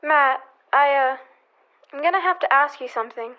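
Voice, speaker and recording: An actress playing a desperate, pleading widow in a radio western, halting and frightened. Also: female voice, sits high, lo-fi sound, natural voice. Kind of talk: old-time radio western drama acting.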